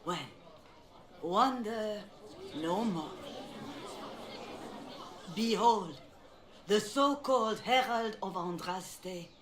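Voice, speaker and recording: A woman's voice: mid-range voice, animated. An actress playing a stern female cleric who denounces a false prophet to a crowd in a game. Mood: accusing. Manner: slow, declaiming to a crowd. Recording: echoey game audio.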